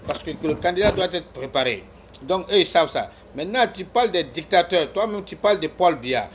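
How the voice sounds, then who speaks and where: Man from Ivory Coast, live-stream commentator, a bit high-pitched, combative and repetitive, faint noise behind. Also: expressive, a little fast. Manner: argumentative, scolds viewers by name, repeats himself. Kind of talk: heated political commentary.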